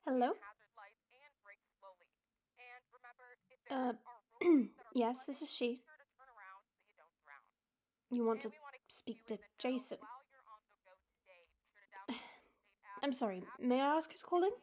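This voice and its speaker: An actress playing an affectionate, feisty young wife in an audio roleplay, teasing and soft-hearted. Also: female voice, high voice, slow pace, long pauses. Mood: puzzled.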